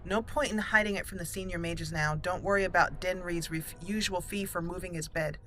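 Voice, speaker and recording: A woman hosting a roleplay game playthrough, commenting and reading aloud deliberately as she thinks. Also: fairly low pitch, steady tone, fairly bright tone, slight background noise. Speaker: let's play host. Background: game music.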